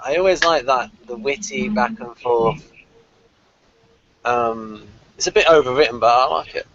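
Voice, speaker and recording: A man's voice: mid-range voice, measured pace, a bit bright, dull audio. A man hosting a casual review chat, thinking aloud as he goes. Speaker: host of a review video.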